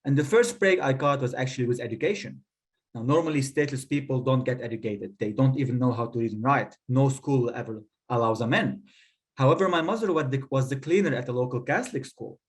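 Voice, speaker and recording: A man, telling a personal story on a panel in a measured, articulate, rhetorical way. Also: medium pitch. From Lebanon. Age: 30s. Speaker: guest speaker telling their story.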